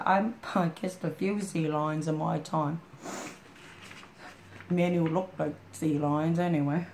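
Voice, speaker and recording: A hoarse woman with a chest cold, rambling in a folksy, heartfelt way as she chats to a friend. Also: mid-range voice, a little mellow, a little hiss or hum, rough recording. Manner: rambling, folksy, full of "you know". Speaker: storytime vlogger. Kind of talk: casual heartfelt chat to a friend.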